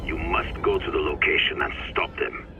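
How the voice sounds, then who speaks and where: An actor playing an older male mentor who guides the hero over the radio, calm and commanding. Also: very deep voice, normal speed, highs cut off, heavy background noise.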